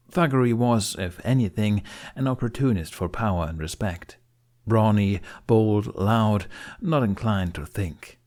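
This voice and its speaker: A man's voice: lowish voice, a little mellow. A man reading a scripted story expressively as an audiobook narrator.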